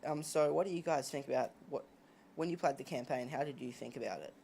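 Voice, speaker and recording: Male host, casual gaming chat, medium pitch, slightly noisy home recording. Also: a little fast, gravelly, dark tone.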